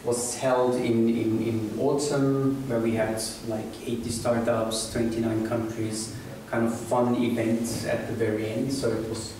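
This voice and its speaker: A middle-aged man gives a casual presentation in a small meeting room, pausing often with fillers and restarting his sentences. His voice stays flat in pitch. He is heard from a distance, with strong room echo and clear background noise. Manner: hesitant, many fillers and restarts. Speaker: meetup organizer presenting. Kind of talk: informal presentation talk. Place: small meeting room with seated audience, indoors. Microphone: room camera from the back of the audience. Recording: echoey room, distant from camera, noisy.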